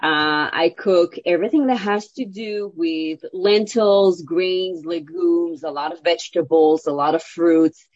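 Guest chef, woman, lowish voice, English speaker, eager and rambling, dry and lo-fi recording. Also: unhurried, no room sound. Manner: warm, enthusiastic storytelling with fillers. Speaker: guest, celebrity chef and cookbook author. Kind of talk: interview answers. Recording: dull, dry audio.

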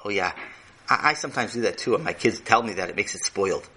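A man's voice: fairly low pitch, quick pace, pushed and bright, husky, low-quality recording. A man voicing an eager, chatty male teacher who rambles effusively and lectures. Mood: lecturing. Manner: effusive, rambling, talks over others.

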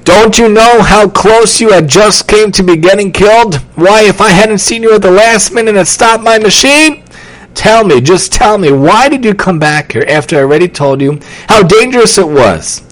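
A man voicing a big, gruff construction worker who scolds in a stern, booming, exasperated way for a children's story. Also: big pitch swings, moderate pace, hardly any pauses, rough recording. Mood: exasperated scolding.

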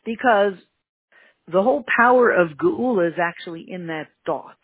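A teacher with a Canadian accent giving an emphatic religious lesson, repeating key words and jumping up in pitch for emphasis. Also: expressive, moderate pace, husky, no echo. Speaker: Torah teacher. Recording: tinny phone-like line, dry.

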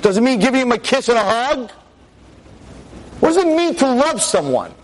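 A veteran teacher in his sixties lecturing an audience on a microphone, with a loud voice, emphatic and full of rhetorical questions. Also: extremely high pitch, big pitch swings, moderate pace, slight background noise. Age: 60s. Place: talk in front of an audience. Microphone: handheld or stand mic.

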